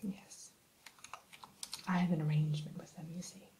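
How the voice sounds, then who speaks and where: A woman playing a polite, primly formal evil queen shopkeeper in a soft, unhurried ASMR whisper. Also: sits low, flat delivery, a little hiss or hum, lightly acted. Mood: pleased, genteel. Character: genteel evil queen running an enchanted mirror shop. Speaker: shopkeeper character in ASMR roleplay.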